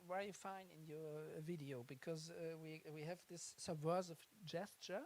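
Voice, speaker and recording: A person leading a masterclass discussion, speaking slowly and searchingly, often ending phrases with "no?". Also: medium pitch, fairly even intonation, a little mellow, a little hiss or hum. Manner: slow, searching, tag question "no?". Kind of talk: reflective discussion.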